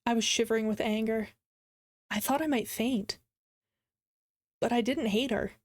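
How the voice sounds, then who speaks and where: A woman voicing a hurt, angry male visual novel narrator in a measured, brooding way. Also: on the high side, dry recording, clean studio sound. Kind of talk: dramatic first-person story reading.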